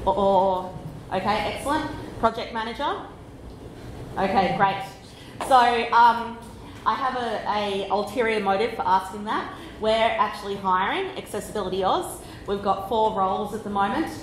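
Loud Australian woman presenting. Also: mid-range voice, echoey room, a little hiss or hum, rough recording. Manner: loud, chatty, deliberately slowed. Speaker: conference speaker. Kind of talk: conference presentation. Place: conference room.

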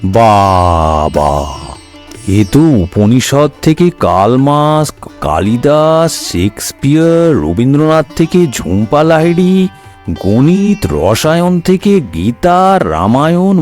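A man's voice: a little high, very animated, slow pace, a little mellow, soft background music. A man narrating a scripted comic cartoon story in a wry, theatrical way. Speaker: storyteller host.

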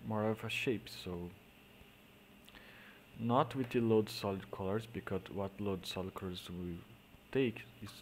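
A man with a Portuguese accent, a native Portuguese speaker, explaining digital painting step by step in halting English, often trailing off into quiet mutters as he works. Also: on the low side, slight background noise. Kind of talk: screen-recorded painting tutorial.

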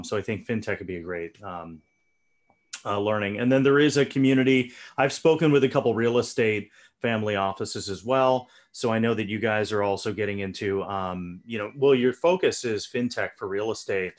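A man interviewing guests over a video call, casual and relaxed, with many fillers. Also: on the low side. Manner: relaxed, filler-heavy moderating.

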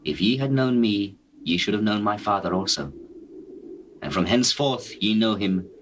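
An actor playing a solemn, gentle, authoritative religious teacher in a measured, declamatory scripture drama. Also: male voice, lowish voice, lively intonation, a little hiss or hum, lightly acted. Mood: solemn, assured. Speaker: actor in dramatized Bible recording. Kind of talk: dramatized scripture reading in archaic English.

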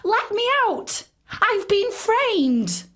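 A woman acts out a frantic zoo monkey from a storybook. The voice shoots up very high into loud, screechy cries and pleading shrieks. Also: big pitch swings, very slow, exaggerated voice.

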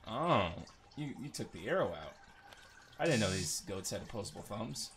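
A man's voice: very animated, moderate pace, fairly bright tone. A man giving casual, rambling gameplay commentary with joking asides to viewers. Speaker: gamer recording a playthrough. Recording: noisy, uneven low-level home recording.

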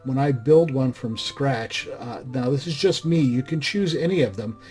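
Hoarse man giving a software walkthrough. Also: medium pitch, moderate pace, soft background music. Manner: loose, conversational, with frequent "uh" and restarts. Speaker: mod review channel host. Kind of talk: screen-recorded software tutorial.

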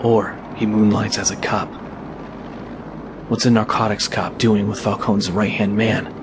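Man playing a low, flat, brooding vigilante. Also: unhurried, noisy background, natural voice. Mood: guarded. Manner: grim, clipped, guarded. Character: brooding, terse masked male vigilante detective. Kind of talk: audio drama acting and narration.

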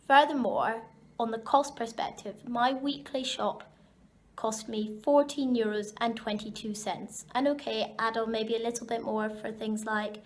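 A young woman with a Scottish accent, chatting upbeat to camera as she cooks in a small kitchen. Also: on the high side. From Scotland. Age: young adult. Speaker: student vlogger. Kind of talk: casual cooking vlog. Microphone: camera mic, handheld and propped up. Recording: echoey kitchen with faint background noise.

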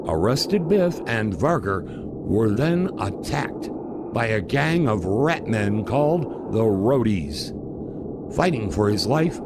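Slow, dramatic male serial narrator. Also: medium pitch. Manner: dramatic, theatrical. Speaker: announcer and narrator. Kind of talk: retro radio serial narration, scripted. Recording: clear background noise under voice.

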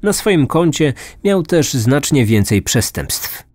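A man's voice: mid-range voice, sing-song, takes it slowly, a little mellow, dry recording, soft background music. A man narrating a scripted true-crime story as a podcast host.

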